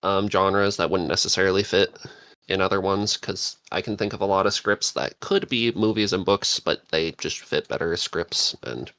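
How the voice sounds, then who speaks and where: A woman chatting casually as a podcast panelist, thoughtful and slightly halting. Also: rumbling low, a bit bright, dry recording.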